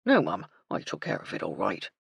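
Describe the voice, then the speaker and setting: A woman voicing a humble male groundskeeper with rough country grammar, deferential in manner. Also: rumbling low, huge pitch swings, fairly quick, no room sound, clean studio sound, voice pushed down, full character voice. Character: rustic humble middle-aged male groundskeeper.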